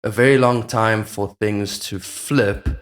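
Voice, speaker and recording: Low-voiced man musing in casual chat. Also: fairly even intonation, slow. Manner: musing, informal, asks rhetorical questions.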